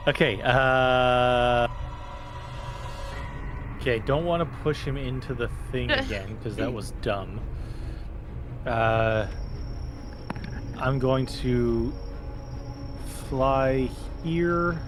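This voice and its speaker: Unhurried man playing a tabletop game. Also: mid-range voice. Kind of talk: casual chat while playing. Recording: music under the voice. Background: music.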